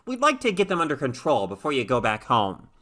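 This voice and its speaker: A woman voicing a calm, evasive male doctor in a story reading, measured, reassuring and professional. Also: very deep voice, exaggerated voice. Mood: reassuring, guarded.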